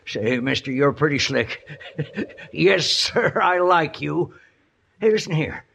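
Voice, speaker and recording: An actor playing a wily, ornery old outlaw with a broken leg in a radio western, in a folksy American Western drawl with halting exclamations. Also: male voice, a little high, lively intonation, gravelly, fairly bright tone, full character voice. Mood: amused, scheming. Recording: dull, noisy old radio recording.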